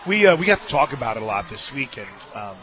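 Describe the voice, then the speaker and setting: An American man doing live radio play-by-play of softball from a stadium booth, mixing casual banter with animated calls of the action. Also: fast, a crowd in the background. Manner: animated and shouting on big plays, conversational between pitches. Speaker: play-by-play announcer.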